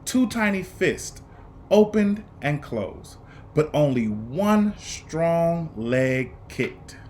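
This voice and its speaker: A man reading a children's picture book aloud, phrasing it dramatically and deliberately with emphatic pauses. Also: fairly high pitch, very animated, a little mellow, a little hiss or hum. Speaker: storybook reader.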